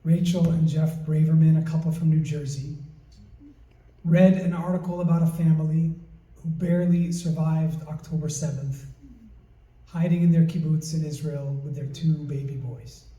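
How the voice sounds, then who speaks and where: A man presenting at an event, reading a prepared introduction in a measured, storytelling way in a large community hall. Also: fairly high pitch, flat delivery, dark tone. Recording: roomy hall, mic at a distance.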